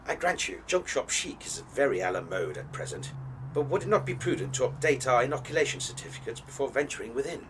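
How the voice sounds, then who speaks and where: A man's voice: medium pitch, fairly bright tone, a little hiss or hum. A man playing a small, fussy, shrew-like alien actor in an audio drama, declaiming in a florid, plummy, theatrical manner. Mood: fussy, effusive. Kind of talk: scripted audio drama acting.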